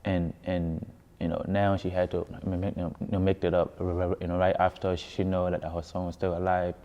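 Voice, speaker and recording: Deep-voiced Ghanaian man in echoey hall. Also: little pitch movement, moderate pace, warm tone. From West Africa, grew up in Ghana. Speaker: musician being interviewed. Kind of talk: casual interview storytelling. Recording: echoey hall, dull muffled sound.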